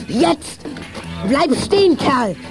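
A female actor playing an excitable, exclamatory young member of a detective gang in a children's radio play. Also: high voice, extremely slow, music in the background, exaggerated voice. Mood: alarmed. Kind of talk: 1980s German children's radio play acting. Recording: clear noise and clicks behind.

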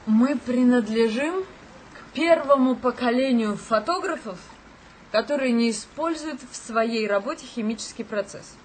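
A woman's voice: on the high side, measured pace, a little hiss or hum, close to an everyday voice, poor audio. An actress in a radio play as a dreamy, easygoing young woman photographer with a languid, playful manner. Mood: teasing.

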